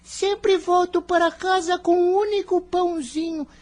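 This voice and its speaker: A woman's voice: very high voice, fairly even intonation, measured pace, a little mellow. A woman voicing a poor, frail, timid little boy in a radio drama. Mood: plaintive, timid.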